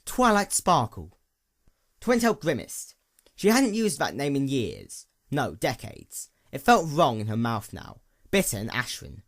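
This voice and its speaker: A man narrating scripted fiction as an audiobook, with a dramatic, deliberate delivery. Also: mid-range voice, wildly swooping pitch.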